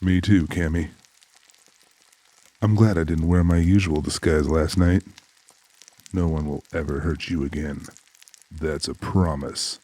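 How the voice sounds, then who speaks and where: A man playing a calm, protective tough guy with a tender side, speaking steadily in an audiobook drama. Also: extremely low pitch, a little slow, pauses often.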